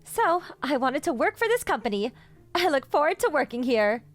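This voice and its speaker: A woman's voice: high-pitched, very animated, normal speed, soft background music. An actress playing a sweet, meek young woman, earnest and timid, in a romcom manga dub. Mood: cheerful. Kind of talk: anime-style romcom manga dub acting.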